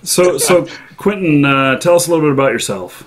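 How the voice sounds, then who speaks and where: A man hosting a live show, chatting off the cuff with callers in a polite, halting and hesitant way. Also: fairly high pitch, animated, a crowd in the background. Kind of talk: unscripted call-in show chat.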